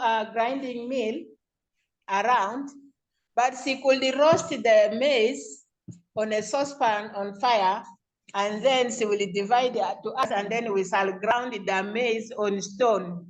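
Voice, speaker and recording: A woman's voice: on the high side, fairly even intonation, slow, poor audio. A woman with a Ugandan accent speaking informally as the president chairing an online club meeting. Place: remote video call.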